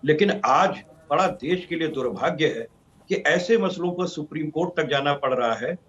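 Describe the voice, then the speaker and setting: A man's voice: fairly high pitch, moderate pace, fairly bright tone, muffled recording. A man arguing as a party spokesman in oratorical, long listing sentences over a band-limited feed. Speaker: political party spokesman, debate guest. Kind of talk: political debate argument.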